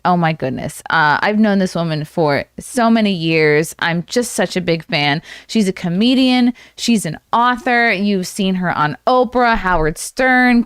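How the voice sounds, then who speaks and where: A woman's voice: lowish voice, clean tone, dry recording, clean studio sound. A woman hosting a podcast in casual interview chat, with a gushing, exclamatory way of talking. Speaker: podcast host.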